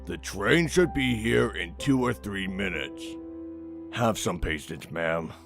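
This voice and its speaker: A man's voice: deep voice, expressive, slow pace, creaky, music in the background, exaggerated voice. A man voicing an impatient, curt gray male pony working a ticket window in a fan audio drama.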